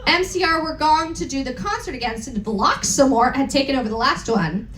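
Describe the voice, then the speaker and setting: A woman's voice: high-pitched, fairly quick, fairly bright tone, slight background noise. A woman giving a comedic dramatic reading of fan fiction to a live audience, theatrical and exaggerated, with wry asides. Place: live event room aboard a cruise ship. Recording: echoey live room.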